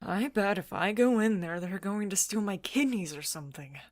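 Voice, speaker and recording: A woman's voice: medium pitch, expressive, normal speed, no echo, natural voice. A woman voicing a sarcastic, anxious young transfeminine friend, sceptical and a little whiny, in an audio story.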